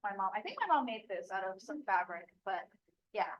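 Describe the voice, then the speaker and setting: A woman's voice: medium pitch, big pitch swings, a little fast. Chatty young woman, dull lo-fi sound. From Bali, Indonesia. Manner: chatty, many "like" fillers, laughs easily. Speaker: local guide at orientation. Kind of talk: casual advice chat.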